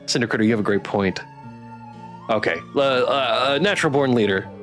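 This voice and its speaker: A man's voice: mid-range voice, moderate pace, fairly bright tone. A man streaming a game, chatting casually as he reads game text and viewer comments aloud. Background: game music.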